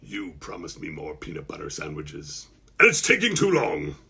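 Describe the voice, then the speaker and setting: A man voicing a huge, hungry male dragon with a deep, rumbling voice and a slow, menacing delivery. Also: huge pitch swings, vocal fry, sharp tone, breathy edge, full character voice.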